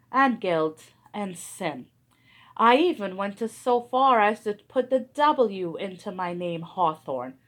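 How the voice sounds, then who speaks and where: A woman playing a stately 19th-century male author in a school skit, speaking slowly in a lowered voice. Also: a bit bright.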